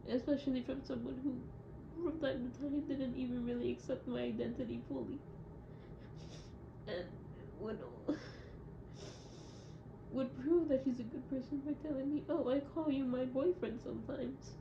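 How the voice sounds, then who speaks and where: A young woman records a personal audio diary in a fairly high voice. She speaks haltingly and reflectively, searching for her words. The sound is dull and clearly echoey. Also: fairly even intonation. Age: young adult. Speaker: audio diarist. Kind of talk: confessional audio diary monologue. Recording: muffled, echoey room with loud noise.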